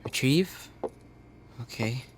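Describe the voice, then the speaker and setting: An actress playing a blunt, sarcastic teenager who judges quickly, in a family audio drama. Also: female voice, very deep voice, lively intonation, slight background noise, heavily acted. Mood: suspicious, dry.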